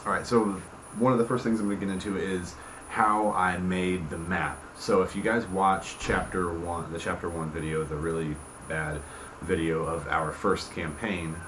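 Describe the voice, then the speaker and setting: A man giving a casual, unscripted explanation to viewers as a video host, halting and rambling, often breaking off mid-thought. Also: deep voice, steady tone. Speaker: tabletop game campaign creator hosting a video channel. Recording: echoey room with clear background noise.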